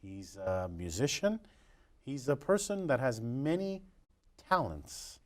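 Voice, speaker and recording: Male radio host, mid-pitched, friendly and chatty interviewer. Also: very animated, slow. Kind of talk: public affairs interview. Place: radio studio.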